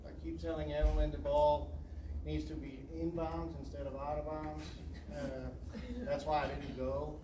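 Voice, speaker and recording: A volleyball coach with an accent giving an earnest, emotional speech at a signing ceremony, talking in a rambling way with fillers. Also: male voice, fairly high pitch, flat delivery, normal speed. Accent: non-native English. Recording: echoey room, far from mic, noisy.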